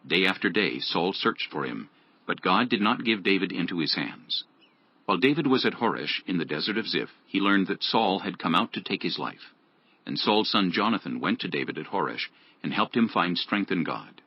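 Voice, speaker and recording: A man's voice: deep voice, animated, normal speed, slightly rough. A man narrating scripture as a scripted dramatized audiobook. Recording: dull lo-fi audio.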